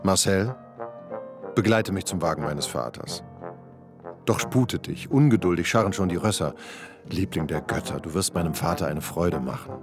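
A man's voice: sits low, normal speed, music in the background, natural voice. An actor playing a pompous young man in a period radio drama, declaiming in archaic, mock-Homeric phrases. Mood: pompous, urging.

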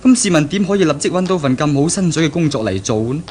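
An actor playing a pragmatic young graduate and fiancé in a 1970s Cantonese radio drama, explaining things earnestly and persuasively. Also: male voice, fairly high pitch, expressive, quick pace, darkish tone, a little hiss or hum, close to an everyday voice. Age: young adult.

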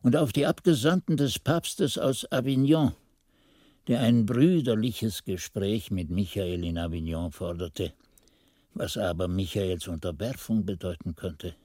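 An old monk musing over his memories in a radio drama. The voice is husky and mellow, slow and halting, recorded very dry. He seems to talk to himself as he recollects. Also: lowish voice, no echo. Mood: troubled recollection. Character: reflective old monk chronicler recalling his youth as novice. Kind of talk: 1980s German radio drama narration.

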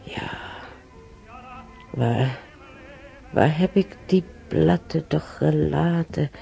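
An actress playing an ailing older woman in a radio drama, reminiscing wistfully about wartime, breathy and halting, often sinking to a whisper. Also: deep voice, mellow, muffled recording, soft background music, exaggerated voice. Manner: halting, murmuring, repeating herself.

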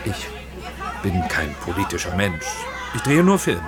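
A man's voice: sits low, very animated, a little slow, over a noisy crowd. An actor playing a guarded middle-aged film director and father who answers carefully and evasively in a radio drama.

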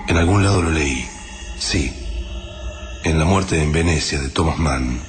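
Introspective family man, very deep voice, Argentine accent, measured radio drama monologue, music behind. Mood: brooding, reflective. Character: Juan, grave introspective family man narrating catastrophe.

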